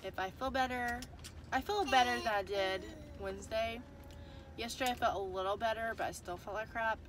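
A woman's voice: mid-range voice, slight background noise. Congested young woman vlogging from a car. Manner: chatty, rambling, tired. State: congested from a cold. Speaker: family vlogger. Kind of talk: casual chat to camera. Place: inside a parked car. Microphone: phone camera at arm's length.

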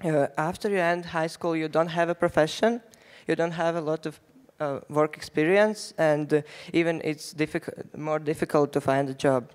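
Latvian-accented teenage boy, clear voice. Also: fairly high pitch, fairly even intonation. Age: teens. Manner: halting non-native phrasing with fillers. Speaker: youth delegate. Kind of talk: prepared panel speech. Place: large conference hall stage. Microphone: handheld mic.

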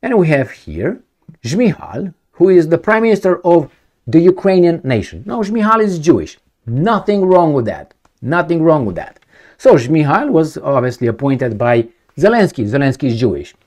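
A man's voice: a little high, very animated, moderate pace, a little mellow. A middle-aged man, from Romania, talking to camera in a home study, emphatic and repetitive, asking rhetorical questions. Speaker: video commentator. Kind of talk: casual to-camera commentary. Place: home study with bookshelves, indoors.

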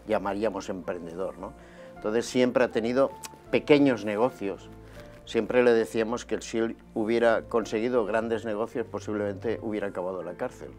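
A man in his fifties from Barcelona, a guest telling his life story in a relaxed, conversational way, recorded on a lapel mic in a small TV studio. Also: on the low side. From Barcelona, Spain. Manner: relaxed and conversational, gesturing as he talks.

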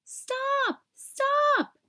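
Woman voicing a fussy, high-pitched storybook man. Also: drawn-out words, sharp tone, exaggerated voice. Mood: exasperated shouting. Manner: exclamatory, precise. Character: fussy, easily exasperated man who loves even numbers. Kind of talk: storybook character voice.

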